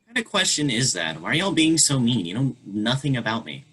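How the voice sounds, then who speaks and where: A man's voice: mid-range voice, very animated, brisk, a bit bright, husky, low-quality recording. A man voicing an anxious teenage transgender girl who is the new student, earnest and defensive, in a virtual play reading. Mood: hurt. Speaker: actor in a staged play reading. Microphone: video call.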